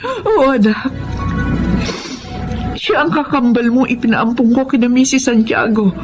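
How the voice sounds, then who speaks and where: A woman's voice: a little high, lively intonation, a little slow, over music. A woman playing a humble, tender older mother in an emotional radio drama. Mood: tearful, remorseful. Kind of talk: Filipino radio drama acting.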